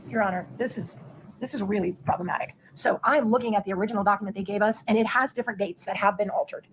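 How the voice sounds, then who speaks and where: A woman lawyer in a courtroom raising an objection to the judge in an urgent, hurried way. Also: mid-range voice, slight background noise, low-quality recording. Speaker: defense attorney.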